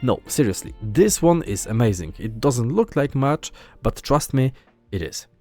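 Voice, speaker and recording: A man's voice: medium pitch, sing-song, moderate pace, warm tone, soft background music. A young man from Poland with a Polish accent, earnestly narrating a scripted gaming video as host.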